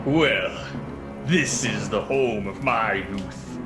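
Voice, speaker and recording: A man playing a sinister, grandiose prodigal nephew in a radio drama, pompous and deliberate. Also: fairly low pitch. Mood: smug, menacing. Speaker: radio play actor. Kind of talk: theatrical radio drama acting. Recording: roomy echo with faint music.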